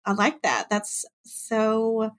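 A woman in her late thirties hosting a casual interview, chatty and giggly, with quick self-mocking asides. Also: fairly high pitch, completely flat delivery. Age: 30s. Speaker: podcast host.

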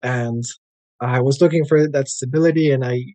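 An American man in his thirties talks easily about his work as a language coach. He rambles a little, with plenty of "like" and "uh" fillers. His voice sits at an ordinary male pitch, and the recording is close and dry with no room sound. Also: moderate pace, no echo. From United States. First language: English. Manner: relaxed and chatty, many "like" and "uh" fillers. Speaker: guest, English language coach. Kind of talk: casual interview conversation.

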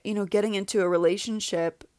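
A woman's voice: medium pitch, fairly even intonation, quick pace, a little mellow, dry recording, studio quality. A woman in her thirties hosting a podcast, speaking candidly and confessionally in a reflective personal monologue. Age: 30s. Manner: candid and confessional, with laughs and repetitions. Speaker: podcast host.

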